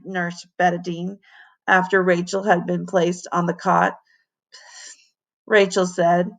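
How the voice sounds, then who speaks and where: Creaky-voiced woman reading a storybook aloud. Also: medium pitch. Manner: animated, dramatic emphasis on key words. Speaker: teacher reading aloud to children. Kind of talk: expressive storybook narration.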